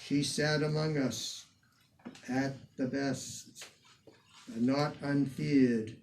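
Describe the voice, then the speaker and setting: Slow, declamatory man reciting verse, distant mic. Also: medium pitch, fairly even intonation, darkish tone. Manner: measured, declamatory, deliberate phrasing with emphatic swells. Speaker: reader of poetry, museum curator. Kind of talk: dramatic poetry recitation in the person of a 19th-century poet. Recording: distant microphone with some background noise.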